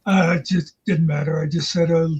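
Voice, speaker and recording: A man with a high, husky voice makes sarcastic remarks in casual stream chat. The audio is muffled and lo-fi. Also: fairly even intonation, moderate pace.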